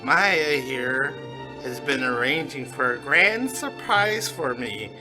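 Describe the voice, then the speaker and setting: A man playing a hapless, earnest, apologetic husband in a comic noir radio drama, halting and stammering as he speaks. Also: medium pitch, animated. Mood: proud, hesitant. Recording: music behind.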